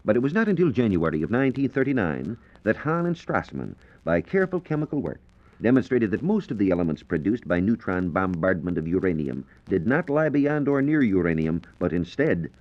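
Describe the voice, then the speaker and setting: An American man explains science in a formal, scripted 1940s radio manner. His voice is fairly low, with lively intonation. The vintage recording is dull and lo-fi. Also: brisk. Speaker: science reporter. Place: radio studio.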